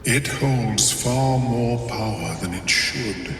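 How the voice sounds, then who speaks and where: A man playing a calm, courteous, scholarly otherworldly creature in a video game, speaking in measured, formal, precise phrases. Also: medium pitch. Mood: grave, puzzled. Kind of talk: video game character acting. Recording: echoing reverberant hall, distant, with music.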